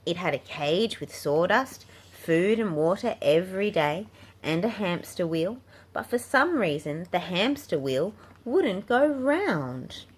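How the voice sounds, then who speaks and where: A woman's voice: lowish voice, sing-song, takes it slowly. A woman reading a picture book aloud to children as a storybook narrator. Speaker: children's book reader and channel host. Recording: clearly noisy home recording.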